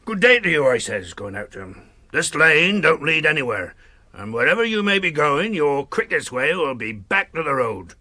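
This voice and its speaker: An actor playing a gruff, hearty older hobbit farmer in a radio drama, folksy and wary of strangers. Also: male voice, on the high side, moderate pace, heavily acted. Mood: folksy, storytelling. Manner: rustic, folksy, chuckling, animated.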